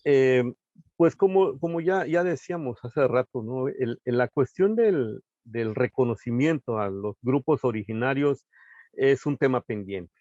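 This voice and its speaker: A man speaking in a measured, formal way as a panelist in an online talk. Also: mid-range voice, animated, a little mellow, no echo, muffled recording. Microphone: video call.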